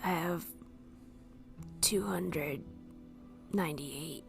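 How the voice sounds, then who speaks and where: A woman's voice: low voice, drawn-out words, vocal fry, faint music behind. A woman chatting casually as a player in a tabletop roleplaying game. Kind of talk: casual chat.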